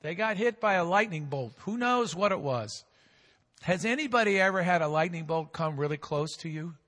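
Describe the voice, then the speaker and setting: A male pastor preaching a sermon with call-and-response, swinging between loud and hushed, drawing out key phrases slowly. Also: high-pitched, lively intonation, long reverb tail.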